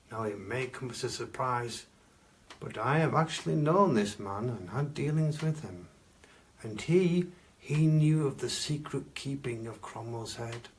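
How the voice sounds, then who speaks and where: A man playing a pompous Victorian gentleman collector, telling macabre tales in a grandiloquent, theatrical way with dramatic pauses. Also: mid-range voice, slight background noise, close to an everyday voice. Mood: grand, secretive. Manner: grandiloquent, archaic phrasing, dramatic pauses and stresses. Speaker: costumed storyteller. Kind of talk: theatrical live storytelling.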